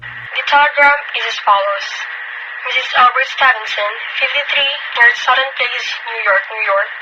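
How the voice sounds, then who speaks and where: A woman playing a polite, impersonal telephone operator in a radio drama, speaking in a formal, measured, official way. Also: on the high side, dull audio, noisy background, natural voice. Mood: businesslike. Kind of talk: old-time radio drama acting.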